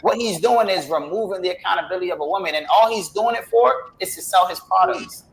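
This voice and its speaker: A man's voice: sits high, fast, creaky, a little hiss or hum, rough recording. A man co-hosting a podcast, exasperated and slangy as he argues. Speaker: podcast co-host. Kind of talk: casual podcast debate.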